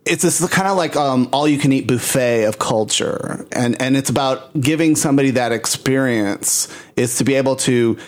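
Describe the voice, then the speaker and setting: A man co-hosting a casual chat, rambling and animated, laughing often. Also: mid-range voice, moderate pace, hardly any pauses. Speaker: podcast co-host.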